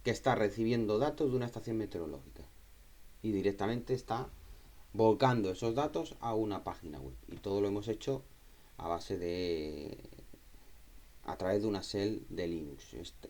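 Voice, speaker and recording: A man giving an informal software tutorial, explaining step by step, repeating himself and checking in with short tag questions. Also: deep voice. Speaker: tutorial instructor.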